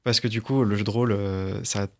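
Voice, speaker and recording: A man's voice: lowish voice, steady tone, fairly quick. A man giving casual commentary as a panelist on a board game show.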